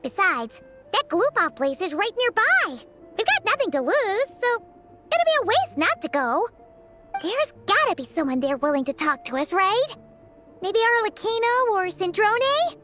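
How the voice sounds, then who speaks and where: A woman's voice: extremely high pitch, very animated, normal speed, over music. A woman voicing a small, chirpy, talkative fairy companion with a childlike manner in a video game. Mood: earnest, speculating. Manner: refers to herself by name in third person.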